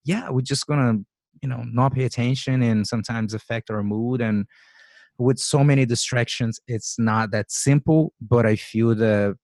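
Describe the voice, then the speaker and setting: A man's voice: medium pitch, steady tone, normal speed, darkish tone, no echo. A man in his forties hosting a podcast, talking loosely and rambling, often acting out his own thoughts. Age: 40s. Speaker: podcast host. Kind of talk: casual interview conversation.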